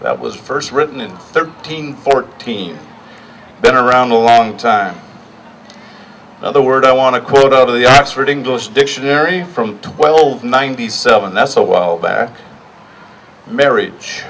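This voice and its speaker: A middle-aged man giving a partly improvised rally speech in a deliberate, plainspoken way, pausing for emphasis. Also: medium pitch, fairly bright tone, recorded from a distance, slight background noise, rough recording. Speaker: rally speaker.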